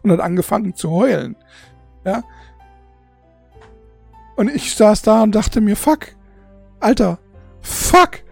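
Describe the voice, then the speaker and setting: A forty-year-old speaking off the cuff to an audience in a husky, gravelly voice, halting and repeating words, emotional and blunt with casual swearing. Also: mid-range voice, expressive, faint music behind. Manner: halting, repetitive, searching for words. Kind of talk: unscripted casual monologue to an audience.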